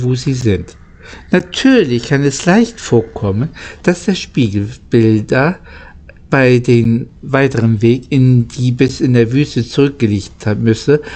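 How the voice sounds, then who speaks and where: A man reading a children's story aloud as an amateur narrator, haltingly, stumbling and rereading phrases. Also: mid-range voice, dark tone.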